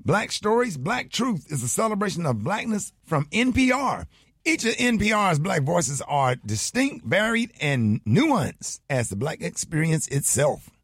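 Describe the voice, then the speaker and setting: A man from Alabama with a Southern US accent, talking casually as a morning radio host with a husky voice. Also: fairly high pitch, sing-song, unhurried, a bit bright, dry recording, clean studio sound. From Birmingham, Alabama, USA. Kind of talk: casual radio banter and personal ad reads.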